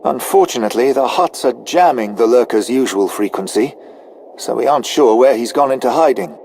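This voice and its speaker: An actor playing a deferential, anxious non-human military officer in a video game, formal and precise. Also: male voice, mid-range voice, expressive, a little fast, darkish tone, slight background noise, natural voice, rough recording. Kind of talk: scripted video game dialogue.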